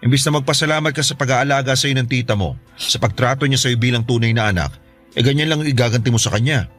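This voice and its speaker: A man's voice: medium pitch, fast talker, fairly bright tone, faint music behind, lightly acted. Actor as exasperated dad, middle-aged Filipino man, mid-range voice, scolding, reproachful, radio drama. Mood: stern, reproachful.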